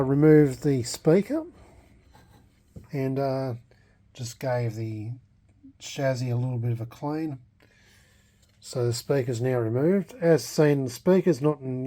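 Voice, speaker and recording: Man, mid-pitched, soft-edged dark tone, casual vlog narration, halting and hesitant, thinking aloud. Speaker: hobbyist vlogger restoring a vintage radio.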